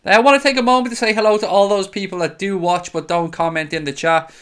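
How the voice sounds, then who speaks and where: A man's voice: high-pitched, steady tone, quick pace, fairly bright tone, clear, resonant tone. A man hosting a casual live stream, chatting in a friendly way and greeting viewers by name. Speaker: host of a fan live stream. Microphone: desk microphone.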